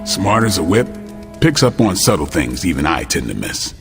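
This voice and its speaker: A wry, low, lively hero. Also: male voice, normal speed, creaky, over music, exaggerated voice. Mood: wry, thoughtful. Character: wry, thoughtful male hero leading a book club. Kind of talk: video game cutscene dialogue, natural conversational acting.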